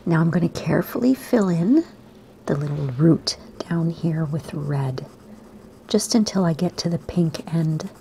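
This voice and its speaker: A woman, slightly hoarse today, gently talking kids through a drawing lesson in a soft, half-whispered voice. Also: sits low, a little slow, a little hiss or hum. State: raspy, slightly sore throat. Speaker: art teacher for kids. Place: home room by a window.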